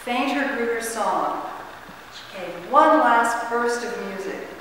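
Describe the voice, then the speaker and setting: A woman narrating a story as a dramatic reading, with expressive, theatrical phrasing. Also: a little high, slow pace. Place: large church, distant camera mic. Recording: echoey, noisy hall from far off.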